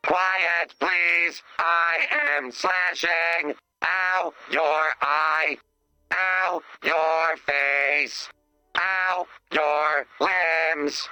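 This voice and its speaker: An actor playing a menacing, deadpan slasher robot in a video game, speaking in terse, ominous statements. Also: male voice, fairly high pitch, extremely slow, no echo, soft background music, exaggerated voice. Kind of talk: scripted video game voice acting.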